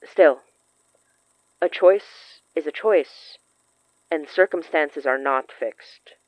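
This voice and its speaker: A woman acting in an audio drama as a wary, thoughtful occult expert who explains things in an earnest, measured way. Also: low voice, no echo, dull audio.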